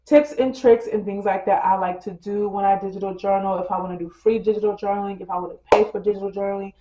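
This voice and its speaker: A woman's voice: medium pitch, steady tone, fast. Chatty congested woman vlogger. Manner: chatty, full of "like" and "literally"; addresses viewers as "girl" and "you guys". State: slightly congested with a cold. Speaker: lifestyle vlogger. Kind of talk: casual tutorial chat. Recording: dull roomy recording with soft music.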